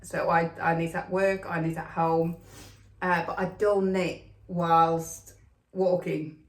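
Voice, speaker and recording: A woman's voice: fairly low pitch, level tone, takes it slowly. A woman from Finland hosting a knitting podcast, talking casually with lots of ums and an upbeat, chatty manner. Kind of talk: casual chat to camera. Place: home room with plastic extension, rain outside. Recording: echoey room.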